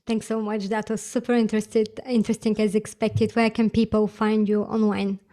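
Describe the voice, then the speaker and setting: A woman's voice: medium pitch, flat delivery, moderate pace, dark tone. A woman hosting a podcast interview, asking brief, polite questions with a few fillers. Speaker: podcast host.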